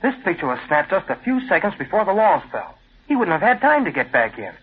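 An eager, respectful photo assistant from a vintage radio mystery. He talks fast in a lightly raised pitch, through a muffled old broadcast sound. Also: very animated, a little hiss or hum. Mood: insistent, puzzled. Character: earnest, deferential male news photographer assistant. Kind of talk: old-time radio crime drama acting.

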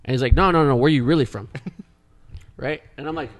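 A man's voice: mid-range voice, fast. Profane rambling storyteller man. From Canada. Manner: rambling, profane, restarts often. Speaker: podcast co-host. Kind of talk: casual storytelling.

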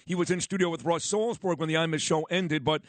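A man's voice: on the high side, fast talker, no room sound. A man hosting a radio talk show, chatting and telling stories about colleagues. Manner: chatty, lively storytelling. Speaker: radio host.

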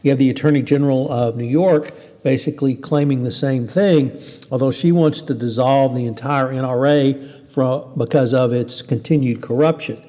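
A man hosting a news podcast, reading and commenting on stories in a conversational, halting way. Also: mid-range voice, steady tone, warm tone. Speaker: podcast host. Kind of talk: news commentary, semi-scripted. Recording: hall-like reverberant room.

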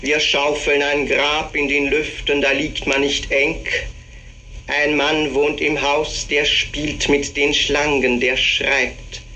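A man reciting a poem slowly in a measured, chant-like way. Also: a little high, low-quality recording. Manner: measured, flat, chant-like delivery. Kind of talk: slow solemn poetry recitation.

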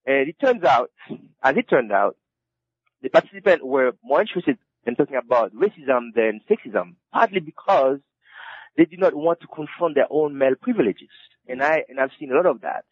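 A man, an author being interviewed, who answers haltingly and restarts his phrases again and again. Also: medium pitch, expressive, dry recording. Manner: halting, with many repeated restarts. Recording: dull lo-fi dry recording.

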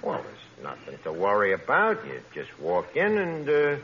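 An actor playing a brash, scheming, lowbrow tavern manager with a New York accent and would-be fancy words, in 1940s radio comedy style. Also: male voice, huge pitch swings, moderate pace. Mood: scheming, knowing. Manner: mangled grammar with pretentious fancy words, hemming. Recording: dull old radio recording, noisy.

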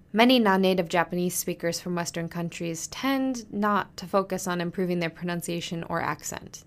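A woman hosting a podcast lesson on Japanese business culture. She speaks in a clear, friendly teaching manner and says the Japanese phrases slowly and carefully. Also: lowish voice.

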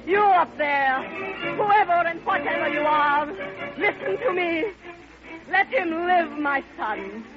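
An actress playing a grieving, lovelorn older woman in a classic radio drama, speaking in a halting, theatrical and impassioned way. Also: very high-pitched, expressive, heavily acted. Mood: desperate pleading. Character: grieving, lovelorn old woman pouring out her heart. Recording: muffled vintage radio recording with music.

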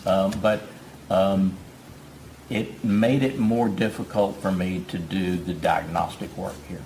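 A man testifying in court as a forensic psychologist, measured and hesitant, pausing on fillers. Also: low-pitched, fairly even intonation, noisy background.

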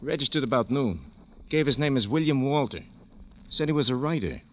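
An actor playing a chatty, grumbling hotel clerk in a 1950s radio drama, talkative and complaining. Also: male voice, mid-range voice, expressive, fairly quick, fairly bright tone, close to an everyday voice. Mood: grumbling, uneasy. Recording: noisy old radio recording.

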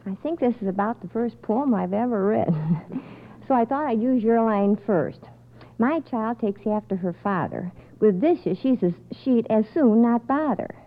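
A woman answers as an amateur quiz contestant. She speaks very slowly in a fairly high voice, captured on a dull old radio recording. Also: lively intonation. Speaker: contestant, mother of a quiz kid. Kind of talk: unrehearsed game show answering and reading.

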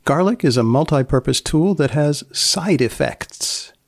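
A man hosting a podcast, narrating and asking questions in a measured, deadpan way. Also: on the high side, dry recording, studio quality. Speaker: podcast host and magazine editor.

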